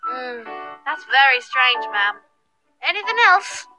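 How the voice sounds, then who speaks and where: A woman's voice: sits high, sing-song, slow pace, music in the background, exaggerated voice, rough recording. A woman playing a folksy, gossipy young maid in a visual novel, with an exclaiming delivery. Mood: puzzled. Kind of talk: visual novel character acting.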